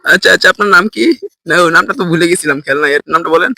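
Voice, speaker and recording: Fast high-voiced Bangladeshi man, prank caller. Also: gravelly, pushed and bright, no echo. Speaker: prank call host. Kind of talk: casual prank-call banter.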